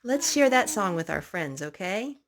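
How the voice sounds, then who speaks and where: A woman's voice: mid-range voice, sing-song, normal speed, music in the background. A woman hosting a children's storytime, reading picture books aloud and asking little prompting questions in a child-directed way.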